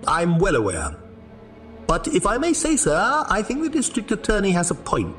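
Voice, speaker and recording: A man playing a loyal, polite manservant in an audio drama, formal and gently frank in a measured way. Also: sits high, wildly swooping pitch, in a large hall, faint music behind, full character voice.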